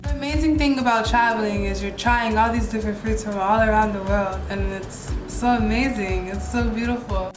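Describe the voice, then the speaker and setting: A woman's voice: medium pitch, fairly quick, hardly any pauses, music in the background. A young American woman vlogging casually about travel and healthy living, chatty and gushing as she rambles between topics. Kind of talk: casual vlog chat. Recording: roomy echo.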